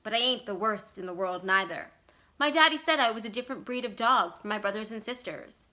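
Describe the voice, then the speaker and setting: A calm, brooding convict on the run as a woman reader acts him out for a short story. He talks in folksy rural phrases, slowly turning things over, with a bright, clear-cut edge to the voice. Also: mid-range voice, lightly acted. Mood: measured musing. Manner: folksy rural speech, musing and deliberate.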